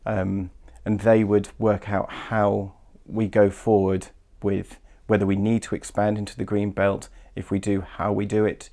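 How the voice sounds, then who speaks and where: A man explaining policy as a parliamentary candidate at a hustings in a hesitant, halting way. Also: fairly low pitch, monotone, warm tone.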